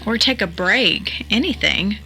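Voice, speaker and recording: A woman's voice: mid-range voice, lively intonation, measured pace, sharp tone, soft background music. A woman acting as a level-headed analyst in a mystery audio drama, speaking in an articulate, matter-of-fact way. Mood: analytical, matter-of-fact. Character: analytical, level-headed woman puzzling over a strange game.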